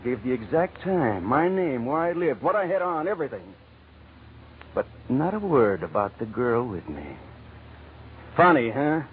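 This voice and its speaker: An actor playing a menacing, sly gambler and killer in an old-time radio crime drama, talking tough, slangy and bossy. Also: male voice, mid-range voice, very animated, unhurried. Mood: taunting, accusing. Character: menacing male gambler and killer, slyly threatening. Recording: muffled, phone-like old radio recording, noisy.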